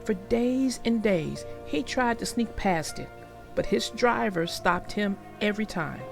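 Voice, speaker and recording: Slow, gravelly woman reading aloud. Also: mid-range voice, over music. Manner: animated storytelling. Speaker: storybook narrator. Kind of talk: children's read-aloud.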